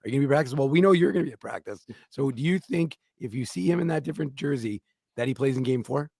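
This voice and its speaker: A man's voice: medium pitch, fast talker, gravelly, a little mellow, breathy edge, no room sound. A man co-hosting a sports talk show, floating a speculative question with plenty of asides. The sound is dry and close, with no room around the voice. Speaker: co-host.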